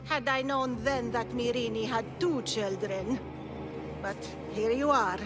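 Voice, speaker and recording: A woman's voice: a little high, slow, over music. A woman playing a cruel, gloating cult priestess in a video game, with a menacing, theatrical delivery. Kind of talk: dramatic video game voice acting.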